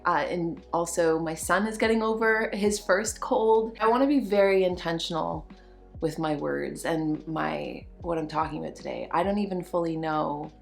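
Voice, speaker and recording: A young woman, a little hoarse from a cold, giving a casual personal update as a podcast host, reflective and pausing to find her words. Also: on the low side, soft background music. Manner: reflective, searching for words, frequent restarts.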